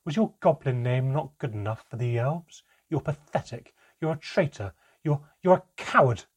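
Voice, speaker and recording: A man voicing a furious, scornful goblin mother in a loud, indignant manner. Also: mid-range voice, lively intonation, moderate pace, husky, voice pushed up. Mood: scornful fury.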